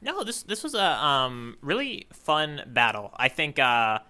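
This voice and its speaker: A man co-hosting a podcast, chatting casually and haltingly as he thinks aloud. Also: mid-range voice, huge pitch swings, pushed and bright, smooth. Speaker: podcast co-host. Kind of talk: casual chat reacting to a story.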